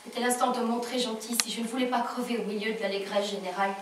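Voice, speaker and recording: An actress playing a wistful, fiery, worn-down laundress in a dramatic theatrical monologue. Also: female voice, on the high side, fairly even intonation, quick pace, close to an everyday voice. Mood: desperate, weary. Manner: dramatic storytelling, swinging from hushed to shouted. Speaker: actress performing a stage monologue. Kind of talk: theatrical French monologue with sung passages. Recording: echoey room from far off, faint noise.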